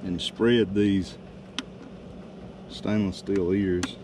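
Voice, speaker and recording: A man, casually explaining a how-to job in a driveway with a relaxed, folksy manner and many fillers. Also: sits low, drawn-out words. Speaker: DIY video host. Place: outdoors in a driveway. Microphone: camera mic. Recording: distant outdoor camera mic with noise.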